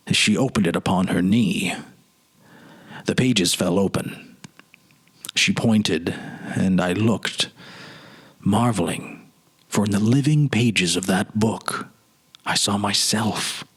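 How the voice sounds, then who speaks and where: A man playing a haunted, wistful man recalling his lost childhood, halting and earnest in a dramatic literary reading. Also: on the low side, fairly bright tone, slightly rough. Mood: wistful wonder.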